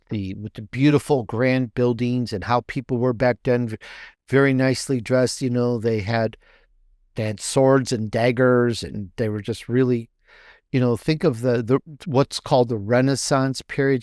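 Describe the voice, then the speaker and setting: A man's voice: mid-range voice, no echo. Man with a very dry, close sound. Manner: halting and repetitive, emphasizes by restating phrases. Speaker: guest author and podcaster. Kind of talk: casual promotional interview talk.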